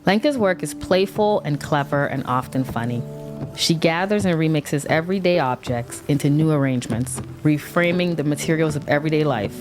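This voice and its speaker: Woman podcast host, very low voice, curious questions, music behind. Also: normal speed. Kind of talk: podcast intro and interviewing.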